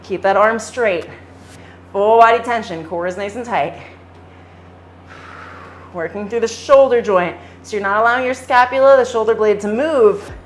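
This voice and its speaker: A woman fitness instructor giving calm step-by-step exercise cues, her voice slightly hoarse from singing at a concert. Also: mid-range voice, normal speed, long pauses, a little hiss or hum. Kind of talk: guided workout cueing.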